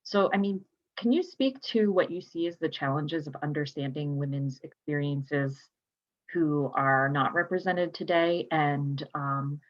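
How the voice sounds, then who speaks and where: This woman has a low-pitched voice, heard through a dull, lo-fi recording. She sounds like a moderator at an academic panel, laying out her questions in measured, formal phrases.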